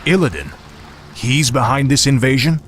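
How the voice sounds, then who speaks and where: An actor playing a grim, arrogant fallen prince turned death knight in a video game, curt and commanding. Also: male voice, medium pitch, sing-song, normal speed, fairly bright tone, a little hiss or hum. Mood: surprised. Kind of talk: video game cutscene acting.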